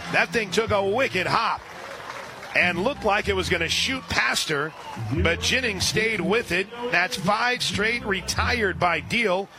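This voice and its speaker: A man calling a softball game on the radio, describing each pitch and reading sponsor spots between plays. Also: expressive, takes it slowly, crowd noise, noisy background. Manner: rises sharply and loud on big plays. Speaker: radio play-by-play announcer. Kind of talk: live softball play-by-play.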